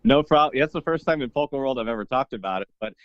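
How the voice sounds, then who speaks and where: Relaxed man, native Polish speaker. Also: medium pitch, fast talker, smooth, no echo, muffled recording. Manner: easygoing, rambling, many fillers and restarts. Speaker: guest, Orthodox priest and polka singer. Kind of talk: casual interview chat.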